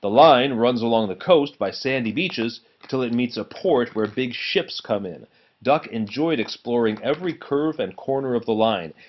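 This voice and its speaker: A man reading a children's storybook aloud as an expressive narrator. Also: medium pitch, moderate pace, muffled recording. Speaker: storybook reader.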